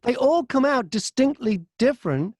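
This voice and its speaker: A man's voice: very high-pitched, lively intonation, normal speed, darkish tone, dry recording, clean studio sound. A British man, an audiobook narrator, speaking as an interview guest and explaining things conversationally with examples.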